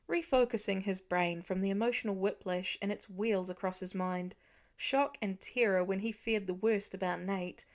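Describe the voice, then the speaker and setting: A woman reading scripted fiction as an audiobook narrator. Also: mid-range voice.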